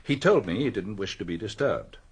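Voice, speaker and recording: An actor playing a reserved, dry village doctor in a radio drama, with a husky voice. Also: male voice, fairly low pitch, animated, fairly quick. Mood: matter-of-fact. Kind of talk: radio drama acting and narration.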